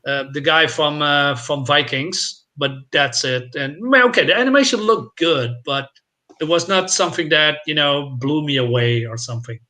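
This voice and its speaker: Bright-voiced man in casual chat. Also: on the high side, moderate pace. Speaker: panelist on a film discussion livestream. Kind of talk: casual chat, giving opinions.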